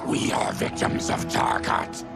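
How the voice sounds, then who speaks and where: An actor playing a bitter, proud, disfigured mutant leader in a video game, speaking formally in a guttural growl under music. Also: male voice, lightly acted. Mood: grim, guarded. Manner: grave, formal, halting delivery. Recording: loud music behind the voice.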